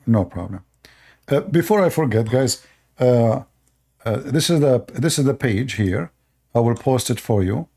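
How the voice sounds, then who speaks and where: A man whose first language is Arabic hosts a live call-in debate, repeating himself and speaking haltingly while he addresses his viewers. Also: medium pitch, expressive, gravelly, dark tone. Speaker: show host.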